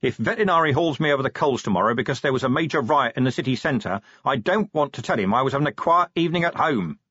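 A man voicing a gruff, weary middle-aged male police commander who talks in a blunt, terse way. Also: medium pitch, animated, fast, fairly bright tone, no room sound, pitched above the performer's own voice. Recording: dry, slightly dull close-mic.

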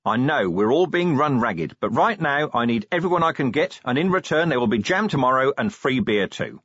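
A man's voice: medium pitch, very animated, a little fast, a bit bright, husky, no room sound, highs cut off, voice pushed up. Man acting a weary, sardonic police commander. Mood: rousing, firm. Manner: sardonic, commanding, plain-spoken.

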